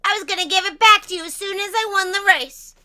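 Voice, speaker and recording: Cartoon kid racer: feisty, defiant girl, extremely high pitch, very sharp bright tone. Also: fast, full character voice. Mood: snarky. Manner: sassy, defiant. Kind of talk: animated film table read.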